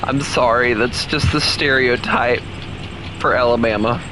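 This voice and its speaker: A man's voice: mid-range voice, fairly even intonation, unhurried, noisy background. A young man streaming a game, chatting and teasing viewers in a joking way that flares into exasperation. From Southern United States. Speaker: gaming streamer. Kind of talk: casual live-stream chat with viewers.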